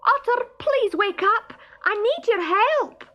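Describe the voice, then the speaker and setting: A woman's voice: very high-pitched, big pitch swings, a little slow, a bit bright, voice pushed up, full character voice. A woman voicing a small, worried young male stoat in a children's storybook, anxious and fretful. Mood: shouting.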